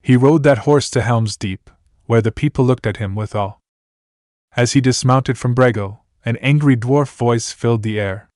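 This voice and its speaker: A man reading a fan fiction story aloud in a steady, plain way. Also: medium pitch, moderate pace, no echo, clean studio sound. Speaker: narrator.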